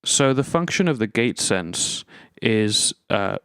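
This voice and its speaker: A man's voice: fairly low pitch, expressive, slow, fairly bright tone, clean tone, no echo. A man presenting an instructional gear demonstration, explaining methodically, step by step. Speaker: tutorial presenter.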